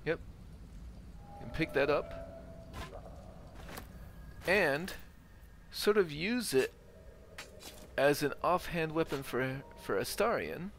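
A man's voice: a little high, expressive, slow, long pauses, fairly bright tone, a little hiss or hum. A man giving casual, methodical gameplay commentary, thinking aloud and reading out stats. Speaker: gaming video host. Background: game ambience.

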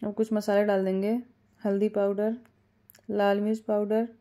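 Woman, cooking host, calm step-by-step explainer, mellow and even delivery. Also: mid-range voice, normal speed. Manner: calm step-by-step instructions with small fillers. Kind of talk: home cooking tutorial voice-over.

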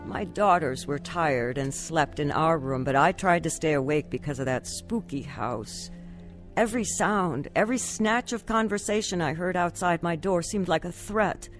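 A woman's voice: deep voice, normal speed, faint music behind, lightly acted. An actress playing a troubled, despondent mother in her thirties, narrating and acting in an earnest radio drama style. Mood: somber, reflective.

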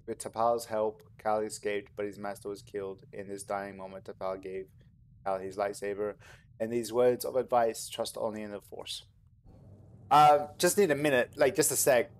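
A man's voice: on the low side, a little mellow. A man giving casual let's play commentary as a video game streamer, close to the mic.

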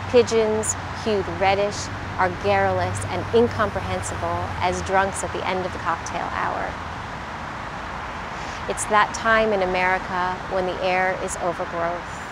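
A woman in her thirties speaking reflectively in measured phrases with pauses, as in an interview or poetry reading. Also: lowish voice, heavy background noise. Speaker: choreographer, dancer and poem reader.

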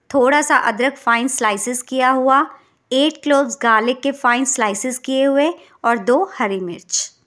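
A woman's voice: high-pitched, a bit bright. A woman from India hosting a cooking channel, narrating a recipe step by step in an instructional way.

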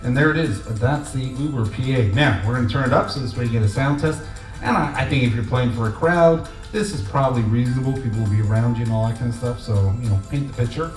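A middle-aged man casually demonstrating audio gear in a store, talking in relaxed salesman patter full of fillers. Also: mid-range voice, fast, soft background music. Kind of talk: casual product demo. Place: large indoor audio gear shop. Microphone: headset and handheld mics, switched. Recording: roomy shop sound, faint background noise.

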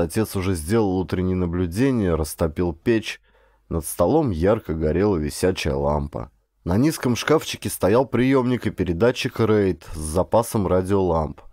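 A man's voice: low voice, dark tone, no echo, clean studio sound. A man reading a first-person adventure story as a scripted audiobook narration.

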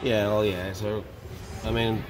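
A man's voice: lowish voice, level tone, measured pace. A young man working in a garage, thinking aloud in a hesitant way full of fillers. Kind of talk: casual chat while working. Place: shop and open garage bay. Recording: loud music under voice.

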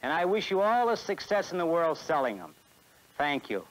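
High-voiced American male sales presenter. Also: big pitch swings, normal speed, rough recording. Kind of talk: scripted sales presentation, 1960s promotional film. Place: film studio beside a truck.

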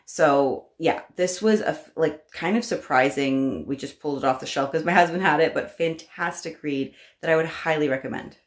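Low-pitched woman in an echoey room. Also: normal speed, few pauses. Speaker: homeschooling parent vlogger. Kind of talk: casual talk to camera, recommending books. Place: small room at home, indoors. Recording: roomy indoor echo.

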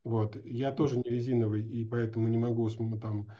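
A man's voice: medium pitch, little pitch movement, a little fast, mellow. A man in his forties talking casually and informally in an interview, thinking aloud in slangy language. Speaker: animation teacher. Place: indoors at home or office, on a video call. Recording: dull lo-fi video call audio.

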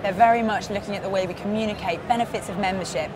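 A woman in her thirties giving a polished, upbeat promotional pitch for a club at a busy exhibition stand. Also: fast talker. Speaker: club representative. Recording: hall noise behind.

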